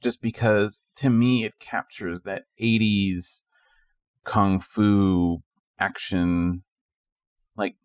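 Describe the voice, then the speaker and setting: Lower voiced guy just chatting on a podcast, laid-back, no room echo. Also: flat delivery, drawn-out words, pauses often, studio quality. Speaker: podcast co-host. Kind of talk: casual chat.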